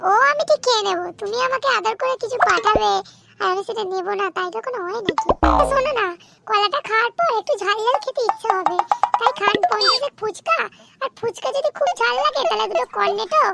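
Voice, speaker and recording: A woman with a West Bengal accent voicing a naive, coy, demanding young woman in an adult comedy cartoon, with a squeaky, exclamatory delivery. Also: brisk, vocal fry, over music.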